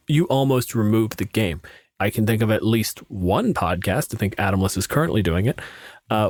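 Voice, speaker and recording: A man's voice: lowish voice, no room sound. Self-deprecating young man, dry close sound. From Canada. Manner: self-deprecating, sweary, animated storytelling with quoted asides. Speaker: podcast co-host and game master. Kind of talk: casual podcast chat.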